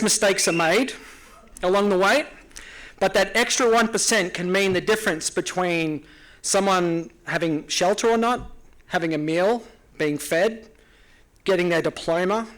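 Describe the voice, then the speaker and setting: This is an Australian man presenting an award with a prepared speech. His voice sits a little high for a man, and his tone is earnest and formal, with small hesitations along the way. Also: fairly bright tone, poor audio. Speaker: award presenter, nonprofit founder.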